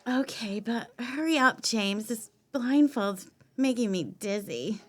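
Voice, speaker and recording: Woman acting out drunk smitten female fan, higher-pitched, slow delivery, giggly, coaxing, audio play. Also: expressive. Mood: tipsy, giggly. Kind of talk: stage readers theater audio play.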